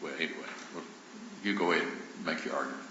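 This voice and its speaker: A man with a very low voice presides as judge, cutting in with short, pointed questions. The recording is roomy and dull, with clear background hiss. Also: fast talker, pushed and bright. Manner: terse, questioning, repeats words. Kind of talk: presiding over a hearing. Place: courtroom.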